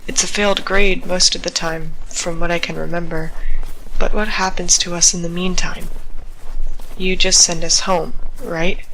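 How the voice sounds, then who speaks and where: A bright, tense voice of a stranded young female student explorer, acted by a woman in an audio drama. She speaks slowly and haltingly, talking to herself with anxious self-doubt. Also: fairly low pitch, a little hiss or hum, low-quality recording. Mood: resigned, doubtful. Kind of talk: audio drama monologue, recorded log.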